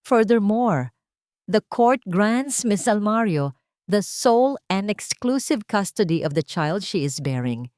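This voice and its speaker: A woman's voice: mid-range voice, big pitch swings, slow, a little mellow, no echo, studio quality. A woman voicing a stern female judge, formal and unwavering, speaking with a Filipino accent. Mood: final, commanding. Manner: formal, firm, unwavering, clear.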